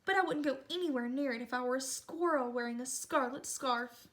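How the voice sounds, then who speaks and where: A woman voicing a great horned owl in a storybook, loud, hooting and knowing. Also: high-pitched, brisk.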